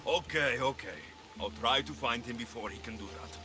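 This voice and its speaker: A man's voice: mid-range voice, normal speed, natural voice. An actor playing a cocky, sardonic male mercenary in a video game cutscene. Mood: confident. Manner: dry, sardonic, bargaining. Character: cocky, wry male mercenary in ancient Greece.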